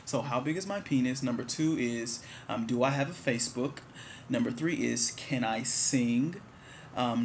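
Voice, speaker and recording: A man's voice: medium pitch, a little hiss or hum. A man in his twenties, answering viewer questions in a candid, rambling, joking way. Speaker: vlogger. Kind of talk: casual talk to camera.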